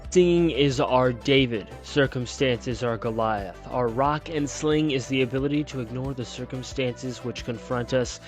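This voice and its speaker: A man's voice: medium pitch, moderate pace, few pauses, faint music behind, close to an everyday voice. A man voicing a smiling male television preacher who sermonizes in a sing-songy cadence. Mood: fervent. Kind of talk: storybook reading.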